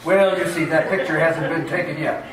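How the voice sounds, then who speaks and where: A man's voice: a little high, steady tone, normal speed, noisy background, close to an everyday voice. A man playing a deluded, hearty nephew who believes he is the President, declaiming pompously in a radio play. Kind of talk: radio theater acting. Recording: very echoey room, distant mic.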